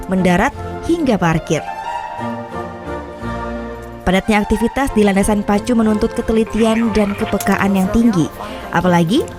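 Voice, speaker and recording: A woman reading scripted narration for a news feature. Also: mid-range voice. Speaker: reporter and narrator. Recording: voice-over with clear background music. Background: music.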